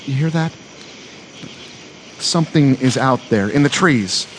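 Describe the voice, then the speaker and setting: A man playing a crude, easily spooked young stoner camper in a live horror audio drama, profane, slangy and excitable. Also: mid-range voice, unhurried, close to an everyday voice. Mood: panicky. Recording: clearly noisy live recording with ambience.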